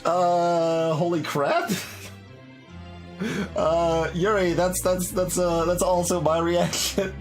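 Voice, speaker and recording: A man's voice: sits high, level tone, takes it slowly. A man chatting casually on a live game stream, rambling and wryly joking as he goes. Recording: music behind the voice. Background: background music.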